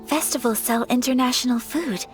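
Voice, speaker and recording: A woman voicing a gentle, earnest and shy young noblewoman who speaks formally and softly in an anime-style video game. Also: sits high, moderate pace, close to an everyday voice. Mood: wistful, reflective. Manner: formal, soft-spoken, hesitant, earnest. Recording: music under the voice.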